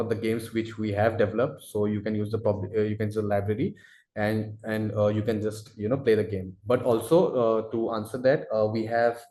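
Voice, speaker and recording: A man answering audience questions as a product expert in a live webinar, speaking haltingly and repeating himself as he explains. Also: lowish voice, warm tone. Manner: halting, repetitive, hedging delivery. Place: indoor room, webinar call. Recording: roomy, echoey sound.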